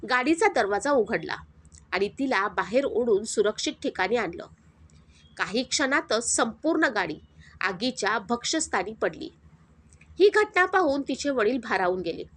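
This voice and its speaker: A woman narrating a moral story in a steady, dramatic storytelling manner. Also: fairly high pitch.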